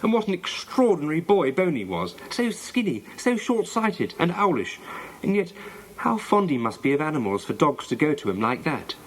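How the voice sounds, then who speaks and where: A man voicing a bossy, quick-tempered young girl in a children's storybook, scolding and exasperated. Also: medium pitch, a bit bright, natural voice, low-quality recording. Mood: scornful. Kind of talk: children's storybook character reading.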